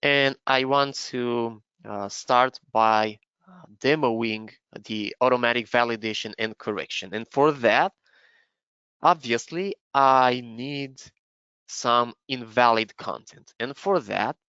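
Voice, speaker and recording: A man from Romania, presenting a live software webinar and explaining features step by step with frequent fillers and restarts. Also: mid-range voice, slow pace, clean tone, no echo, highs cut off. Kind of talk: live webinar product demo.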